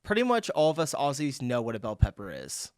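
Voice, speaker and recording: A man from the United States hosting a casual reaction video, reading out viewer comments in a relaxed, chuckling way. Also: mid-range voice, brisk, no room sound, studio quality. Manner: laid-back, chuckling, reads out viewer comments.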